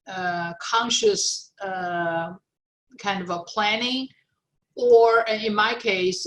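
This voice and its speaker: A woman's voice: mid-range voice, a bit bright, low-quality recording. Middle-aged woman guest, first language Chinese, reflective and hesitant, many ums and restarts. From China. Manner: thoughtful, many fillers and restarts. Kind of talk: interview, personal story.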